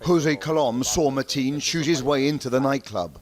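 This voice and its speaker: A man's voice: mid-range voice, normal speed. Male reporter, sober narration, light background hum. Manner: calm, grave narration. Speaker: foreign correspondent. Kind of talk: scripted news report and interviewing.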